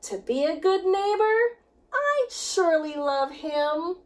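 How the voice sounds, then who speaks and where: Very high-pitched woman reading a children's story. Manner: animated, emphatic, sing-song storytelling with drawn-out words. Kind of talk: expressive children's picture book reading.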